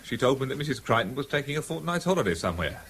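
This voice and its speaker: An actor playing a deferential British police subordinate in a radio drama, giving factual reports respectfully. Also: male voice, mid-range voice, very animated, racing, a bit bright, slight background noise. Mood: earnest. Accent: British English.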